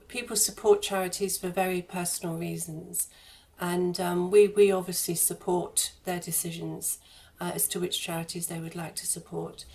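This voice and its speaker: Female charity spokesperson in interview, lowish and even-toned, earnest and explanatory, faint noise behind. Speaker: interview guest, charity fundraising head.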